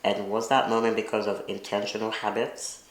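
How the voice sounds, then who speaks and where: A man from Kenya co-hosting a relaxed chat, answering viewer questions with lots of fillers. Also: on the low side, normal speed, roomy sound. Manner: relaxed, conversational, with many fillers. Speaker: co-host.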